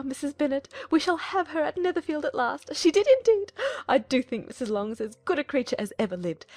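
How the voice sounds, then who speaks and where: A woman voicing a boastful, excitable middle-aged matchmaking mother in a dramatized period novel, gossipy and effusive. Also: fairly high pitch, slightly rough, no room sound, pitched above the performer's own voice. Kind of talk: dramatized audiobook dialogue.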